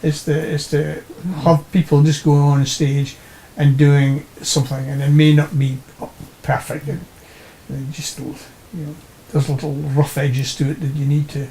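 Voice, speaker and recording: A man's voice: on the high side, level tone, a little slow, gravelly, mellow, a little hiss or hum, poor audio. A man talking casually and conversationally in an interview.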